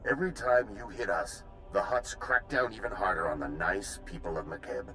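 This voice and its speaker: A man's voice: on the low side, normal speed, bright, forceful tone. A man voicing an arrogant, menacing mercenary commander in a video game, with a taunting, threatening manner. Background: game music.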